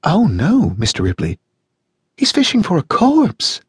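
A man voicing a cheerful, shrill, high-pitched American housewife who gushes and chatters gaily in an audiobook. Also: wildly swooping pitch, a little slow, husky, no echo, studio quality, exaggerated voice. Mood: gushing. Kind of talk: audiobook reading.

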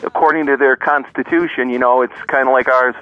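A man's voice: mid-range voice, fairly even intonation, brisk. A man calling in to a radio talk show, relaying breaking news in an earnest, matter-of-fact way. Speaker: caller. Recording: dull lo-fi phone call.

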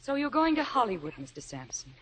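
A woman's voice: fairly high pitch, very animated, quick pace, a little hiss or hum, rough recording. A woman playing a demure, deferential young admirer in 1950s radio acting, polite, earnest and hesitant. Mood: timid, curious.

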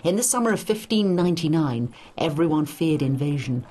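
A woman's voice: sits low, expressive, fairly quick, mellow, slight background noise. A woman giving a scripted educational narration for a study guide, in an emphatic storytelling manner.

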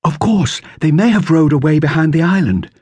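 A man voicing an imaginative, brisk young girl in a storybook, wistful and given to dramatic phrasing. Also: a little high, expressive, a little mellow, breathy edge, no echo, voice pushed up. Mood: matter-of-fact.

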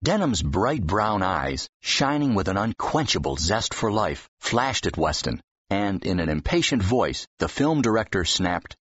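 A man with a deep, husky voice reading fiction expressively as an audiobook narrator. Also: big pitch swings, a little slow, hardly any pauses, fairly bright tone, no echo. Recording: dull, slightly muffled audio.

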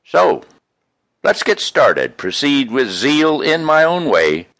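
A man reading his own poems in an expressive, folksy, homespun way, with casual introductions between them. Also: mid-range voice, slow pace, vocal fry, dry recording. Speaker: poet reading own work.

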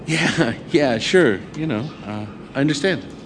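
Earnest scientist buddy, slightly high, animated, stammering, live radio play with faint ambient noise. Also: male voice, measured pace. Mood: awkward sympathy. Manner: hesitant, stammering, conversational. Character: earnest, excitable male lab colleague and friend. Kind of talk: live audio drama acting.

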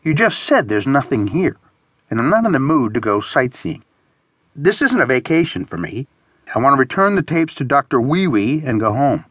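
A man's voice: medium pitch, very animated, moderate pace, fairly bright tone, husky, no room sound, pitched above the performer's own voice. A man voicing a grumpy, reluctant, sardonic traveler in audiobook dialogue. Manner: grumbling, sardonic.

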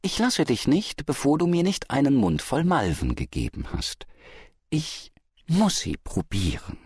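A man's voice: fairly high pitch, huge pitch swings, normal speed, breathy edge, dry recording, studio quality, voice pushed up, exaggerated voice. A man voicing a rich merchant's wife in a story, haughty and mock-sweet as she pesters and needles. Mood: wheedling, insistent.

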